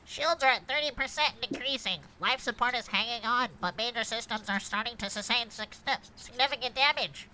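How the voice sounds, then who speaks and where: Woman playing a bright, crisp starship crew officer. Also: fairly high pitch, a little fast, few pauses, a little hiss or hum, low-quality recording. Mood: urgent, businesslike. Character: crisp, procedural starship crew member calling out alerts. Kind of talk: script table read.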